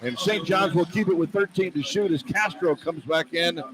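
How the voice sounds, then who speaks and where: High-pitched American man calling basketball, noisy arena. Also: moderate pace. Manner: excitable, partisan toward the home team. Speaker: radio play-by-play announcer. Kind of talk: live basketball play-by-play commentary. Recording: noisy live arena radio broadcast. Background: crowd and arena noise.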